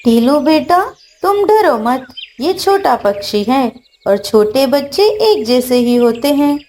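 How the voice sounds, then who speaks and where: A woman's voice: sits high, big pitch swings, takes it slowly, a little mellow, slight background noise, full character voice. A woman voicing a caring, polite mother sparrow in a children's cartoon. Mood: reassuring. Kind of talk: Hindi children's cartoon dialogue.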